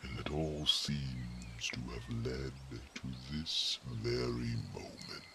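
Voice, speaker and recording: A man voicing a wise, solemn male companion to a young dragon, speaking in a slow, grave whisper in an ASMR fantasy roleplay. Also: very deep voice, steady tone, noisy background, heavily acted.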